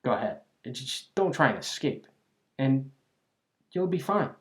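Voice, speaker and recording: A man's voice: mid-range voice, sing-song, a little slow. A man playing a cocky but friendly bandit gang leader in an ASMR roleplay, teasing and chatting in folksy slang. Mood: amused, boastful. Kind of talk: ASMR roleplay monologue addressed to listener.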